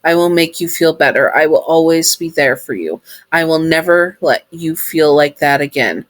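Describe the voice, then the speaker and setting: A storyteller voicing a gentle, protective male robot caretaker who speaks softly and coaxingly. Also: medium pitch, expressive, normal speed, no echo, voice pushed up, natural voice. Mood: soothing. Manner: soft, soothing, coaxing. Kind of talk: fan story reading.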